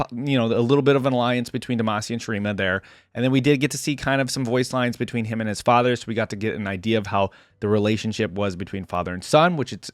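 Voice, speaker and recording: A man's voice: medium pitch, no room sound. Man, casual chatty commentary, dry close sound. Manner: chatty, rambling, conversational. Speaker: reaction video host.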